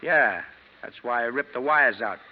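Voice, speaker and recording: An actor playing a nervous, slangy American ex-convict in a 1940s radio crime drama, pleading and hesitant. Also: male voice, medium pitch, normal speed, lightly acted. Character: nervous, fast-pleading male ex-convict, slangy small-time crook. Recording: dull, noisy vintage radio recording.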